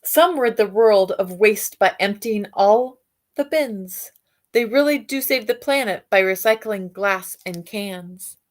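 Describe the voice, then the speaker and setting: Slow-paced woman reading to children. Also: mid-range voice. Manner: expressive reading, alternating with softer musing asides and hums. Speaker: read-aloud storyteller for children. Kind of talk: picture-book read-aloud with questions to young listeners.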